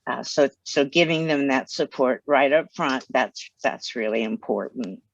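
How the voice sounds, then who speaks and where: An older woman, a guest on a video call from her home study, speaking gently and plainly about hard memories. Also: a bass voice, measured pace, dry recording. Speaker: guest author. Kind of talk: interview over video call. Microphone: video call, headset. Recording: dull, dry video call audio.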